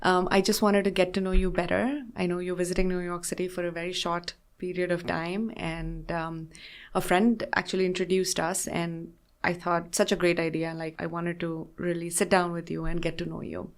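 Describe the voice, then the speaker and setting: A woman's voice: on the low side, level tone, normal speed. A woman hosting a podcast interview, encouraging her guest with frequent backchannels. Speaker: podcast host.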